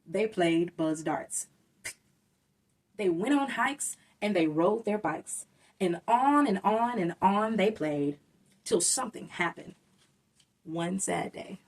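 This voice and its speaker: A woman's voice: medium pitch, lively intonation, takes it slowly, pauses often, distant mic, rough recording. A woman reading a rhyming children's story aloud, expressively and with dramatic emphasis. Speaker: storybook reader.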